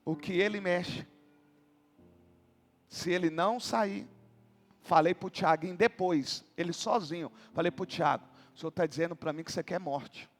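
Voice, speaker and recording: A Brazilian man, a pastor, telling a story in a sermon and then preaching with rising passion, at times shouting. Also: high voice, moderate pace, big-room sound. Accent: Brazilian Portuguese.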